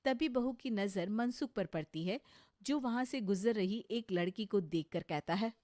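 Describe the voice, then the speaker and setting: A woman's voice: mid-range voice, a little slow, a little mellow, no echo, clean studio sound. A woman reading scripted narration for a comic moral story as its storyteller.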